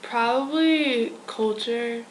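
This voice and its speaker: A woman's voice: fairly high pitch, takes it slowly, slight background noise. A young woman answering interview questions in a casual way, with many "like" fillers. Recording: echoey room.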